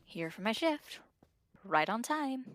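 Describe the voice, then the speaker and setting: A woman's voice: a little high, big pitch swings, takes it slowly, noisy background. An actress playing a teasing, pointed woman guard in an audio drama. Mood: teasing, probing. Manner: probing, pointed. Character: pointed, teasing young female guard seeking trust.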